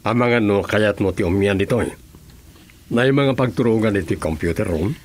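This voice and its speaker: A man's voice: on the low side, fairly quick, a little hiss or hum, natural voice. A man with an Ilocano accent playing a male provincial governor in a radio serial. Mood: questioning. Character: male provincial governor questioning his aide. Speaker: actor in a radio drama.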